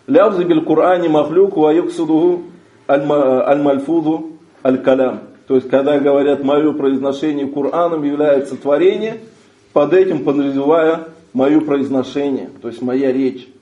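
Male religious teacher, reads a text and explains it line by line, mellow voice, slightly dull lo-fi sound. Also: a little high, fairly even intonation, normal speed, reverberant. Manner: reads a passage aloud, then translates phrase by phrase. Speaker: Islamic teacher.

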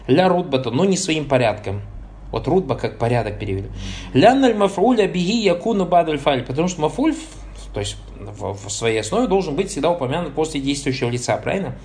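A man's voice: fairly high pitch, animated, a little fast, darkish tone, a little hiss or hum. A man teaching Arabic grammar, reading lines from a text, translating them and asking his listeners short check questions as he goes. He drops blessing formulas between points and corrects his own slips.

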